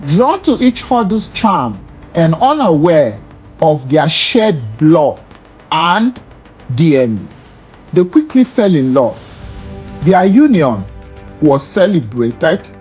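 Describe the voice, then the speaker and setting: A storyteller giving a scripted folktale narration, slow and dramatic, with swooping emphasis on key words. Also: mid-range voice, very animated, a little mellow, husky, lo-fi sound, faint music behind.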